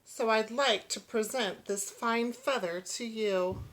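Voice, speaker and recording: A woman's voice: on the high side, takes it slowly, a bit bright, slight background noise, rough recording. A gracious, grateful male peacock from a children's storybook , as a woman reads him aloud. He speaks in formal, courteous rhyme.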